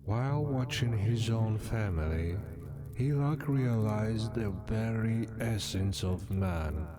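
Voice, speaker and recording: Man playing a slow, solemn sun god. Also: lowish voice, music in the background. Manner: slow, portentous storytelling. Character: solemn male sun deity, omniscient watcher and narrator. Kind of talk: scripted game narration.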